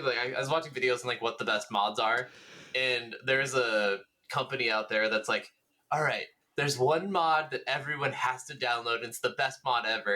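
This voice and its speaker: A man with a bright, keen-edged voice at a middling pitch co-hosts a gaming podcast. He talks casually and enthusiastically, rambling from one thought into the next and swearing now and then. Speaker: podcast co-host.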